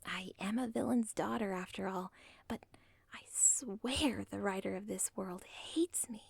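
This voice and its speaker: A young woman voicing an obsessive villain's daughter in an ASMR roleplay, rambling in soft whispered asides that break the fourth wall. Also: medium pitch, a little slow, vocal fry, husky, no echo, exaggerated voice. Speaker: audio roleplay performer.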